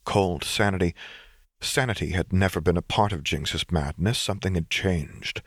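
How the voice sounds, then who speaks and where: Low-voiced male audiobook narrator. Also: no room sound, clean studio sound. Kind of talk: scripted dramatic story narration.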